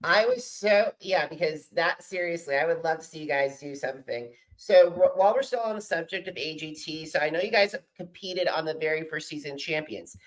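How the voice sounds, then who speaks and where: A man's voice: on the high side, fairly quick, hardly any pauses, low-quality recording. Eager man hosting a podcast. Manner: enthusiastic fan, talks in bursts with restarts. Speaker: podcast host. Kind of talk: casual interview chat.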